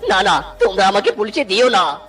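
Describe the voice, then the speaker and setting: A man's voice: sits high, very animated, moderate pace, fairly bright tone. A man voicing an aging tiger uncle, an earnest, folksy chilli farmer in a Bengali cartoon, given to exclamations. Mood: remorseful. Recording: music bed under the voice. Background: background music.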